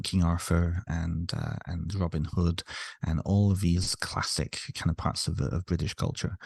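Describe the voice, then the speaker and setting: Low, gravelly-voiced man in his thirties. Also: a little mellow, no room sound. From North Wales, raised in Ontario, Canada. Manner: reflective, many fillers and restarts. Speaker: podcast guest, author. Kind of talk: casual interview.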